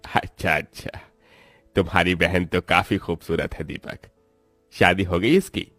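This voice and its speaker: A man voicing a calculating office boss in a cartoon story, measured and inquisitive. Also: sits low, fairly even intonation, fairly bright tone, dry recording, faint music behind. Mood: probing, measured. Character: smooth-talking male office boss, probing and calculating.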